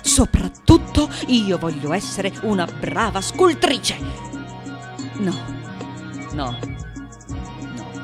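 An actress playing an elderly woman sculptor in a theatrical radio monologue, candid, restless and vulnerable, confiding with emphatic repetitions and dramatic pauses. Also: medium pitch, big pitch swings, over music. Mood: fervent, insistent.

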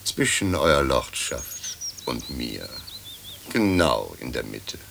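Very deep, creaky old butler. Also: male voice, big pitch swings, takes it slowly, noisy background, exaggerated voice. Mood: dry, deferential. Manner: servile, formal, drily insinuating. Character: deferential, sly old butler ghost serving his lord. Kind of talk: German radio play acting.

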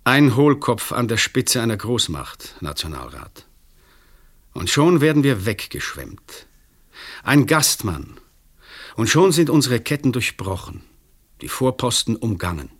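A man's voice: mid-range voice, big pitch swings, a little slow, a bit bright, full character voice. An actor playing a weary, disillusioned male examining magistrate in a German radio play, speaking formally and rhetorically. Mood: bitter, weary.

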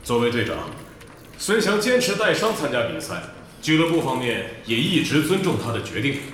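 A man's voice: mid-range voice, big pitch swings, fairly bright tone. A man playing a formal, evasive club manager giving a rehearsed press statement in an audio drama, in a very echoey hall. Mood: grave, diplomatic. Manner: measured, official, rehearsed. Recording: very echoey hall, faint noise, ambience.

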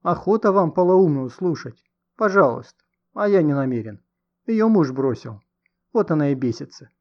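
A man plays a nasty storybook man, rude and menacing. He is hot-tempered and cornered, in a fairly high voice on a slightly muffled recording. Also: animated, normal speed, close to an everyday voice. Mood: scornful. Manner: hissing and barking, rude.

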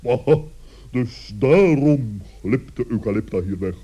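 A man voicing a pompous, solemn, fussy male talking bird with wordy, deliberate speech and a husky voice in a children's radio play. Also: medium pitch, animated, dark tone. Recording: dull lo-fi old recording.

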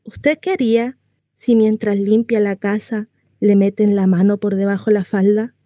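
A woman plays a naive, lonely housewife in a radio monologue. Her voice is warm and mellow, in a very dry close recording. She rambles confidingly, insisting cheerfully on her good life and turning coy over delicate matters. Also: medium pitch, flat delivery, normal speed, no room sound, close to an everyday voice. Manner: gossipy, rambling, confiding, coyly hesitant. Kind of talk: theatrical monologue read for radio.

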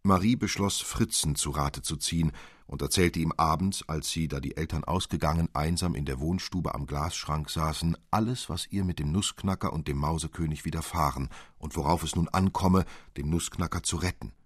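Very deep, husky male storyteller. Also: moderate pace, no echo, clean studio sound. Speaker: narrator. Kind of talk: scripted literary fairy-tale narration.

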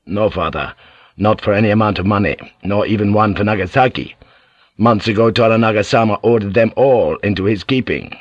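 A man's voice: fairly low pitch, fairly quick, no room sound, highs cut off, close to an everyday voice. A man voicing an earnest young monk. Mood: sober.

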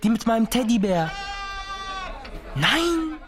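An actor playing an excited, awestruck young boy in a German radio play. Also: medium pitch, slow pace, crowd noise.

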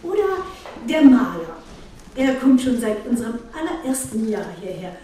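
A woman's voice: on the high side, big pitch swings, normal speed, mellow. A woman playing the host of a seaside retreat in a radio play, explaining things in a musing interview style. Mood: explanatory, musing. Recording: very echoey, distant, faint noise.

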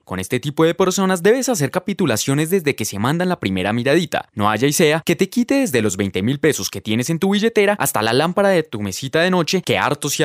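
Lively Colombian man presenting on radio. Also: fairly high pitch, no room sound, studio quality. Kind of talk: mock-dictionary scripted narration.

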